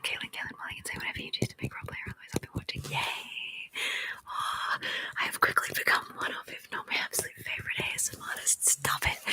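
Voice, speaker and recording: Young woman, ASMR whisperer, giggly and rambling, bright breathy tone with a very lively lilt. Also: medium pitch. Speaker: ASMR creator. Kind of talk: whispered ASMR chat, reading viewer comments.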